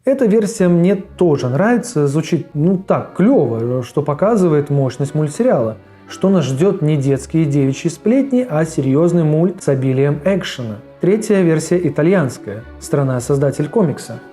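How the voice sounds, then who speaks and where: A man's voice: fairly high pitch, expressive, moderate pace, hardly any pauses, mellow. A man hosting a cartoon review in a casual video essay narration style. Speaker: host of a cartoon review show. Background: background music under the voice.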